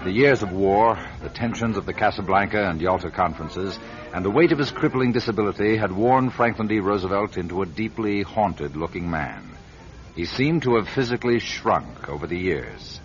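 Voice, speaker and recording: A man with a very low voice narrates a radio drama in a grave, deliberate way. The recording is dull and lo-fi. Music plays clearly behind him. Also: animated. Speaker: narrator and writer-director.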